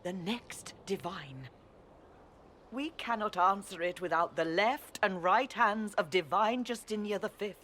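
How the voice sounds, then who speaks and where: A woman's voice: mid-range voice, a little slow, fairly bright tone, slight background noise, natural voice. An actress playing a solemn, insistent senior churchwoman in a fantasy video game, formal and pressing in her address. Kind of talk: video game cutscene acting.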